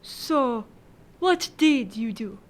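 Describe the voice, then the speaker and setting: Woman doing strict french prefect in a radio play, high voice, clipped formal questioning. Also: lively intonation, drawn-out words. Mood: probing. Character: stern, authoritative French prefect questioning a witness. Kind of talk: radio drama acting.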